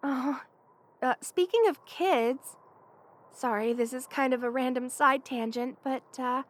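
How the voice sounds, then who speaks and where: A woman voicing a playful, affectionate young lamia best friend in a casual, teasing ASMR roleplay. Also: sits high. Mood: sincere, chatty. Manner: casual, teasing, conversational with soft chuckles. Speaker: voice actor in audio roleplay. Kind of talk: ASMR roleplay, one-sided friendly chat.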